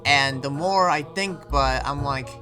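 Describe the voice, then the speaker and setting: A man's voice: fairly high pitch, moderate pace, music in the background, close to an everyday voice. A man playing an earnest demon slayer in an improvised roleplay, explaining things in a casual, rambling way. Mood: earnest, thoughtful.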